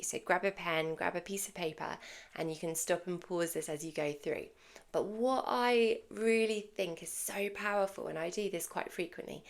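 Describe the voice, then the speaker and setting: Gravelly, low-voiced woman coaching. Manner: encouraging, emphatic, repeats "really, really" for stress. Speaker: fitness coach and podcast host. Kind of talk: solo podcast talk with guided exercise.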